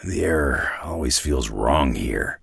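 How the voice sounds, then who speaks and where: Gruff mutant brawler, gravelly growl, swinging intonation, dry cynical drawl, game character, close dry sound. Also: male voice, a bass voice, animated, slow pace, a bit bright, no echo, heavily acted. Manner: terse, dry, tough-guy drawl. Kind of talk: video game character acting.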